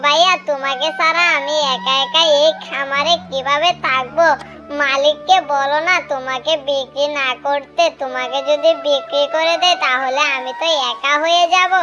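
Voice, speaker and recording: High childlike anxious young farm animal, woman acting. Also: steady tone, normal speed. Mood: worried, pleading. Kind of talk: cartoon dialogue. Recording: dull lo-fi track under loud music.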